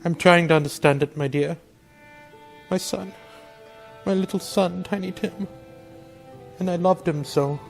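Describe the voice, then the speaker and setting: A man playing a gentle, grieving father in a radio drama, speaking tenderly and haltingly in sorrow. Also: high-pitched, vocal fry, soft background music. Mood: breaking grief. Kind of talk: theatrical radio drama acting.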